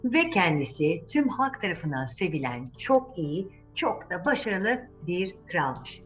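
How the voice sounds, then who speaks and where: A woman's voice: lowish voice, sing-song, slow, fairly bright tone, lo-fi sound, soft background music. A woman telling a fairy tale to children, speaking to them directly and repeating words for emphasis. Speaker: children's storyteller, channel host.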